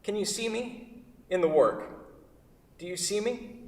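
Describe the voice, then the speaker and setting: A man's voice: sits high, expressive, a little slow. A man playing an intense, wounded perfectionist artist in a staged play reading. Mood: impassioned. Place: theatre hall. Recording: distant mic in echoey hall.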